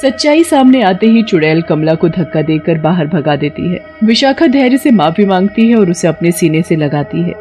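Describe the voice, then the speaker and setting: A woman's voice: on the low side, lively intonation, normal speed, few pauses, warm tone, soft background music. A woman reading scripted cartoon story narration as the narrator.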